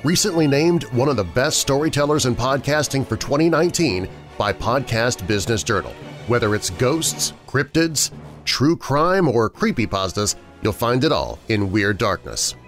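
A man's voice: medium pitch, lively intonation, moderate pace, fairly bright tone, faint music behind. A man who hosts a podcast, giving a dramatic scripted promo read. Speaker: podcast host.

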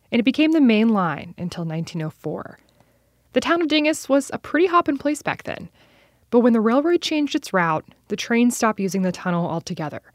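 A woman's voice: fairly high pitch, a little mellow, dry recording, clean studio sound. A woman reporter reading scripted narration for a radio feature.

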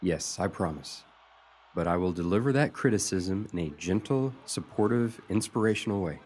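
An actor playing a supportive, lovestruck male friend, earnest and gently persistent, in a radio play. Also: sits low, lively intonation, moderate pace. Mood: gentle.

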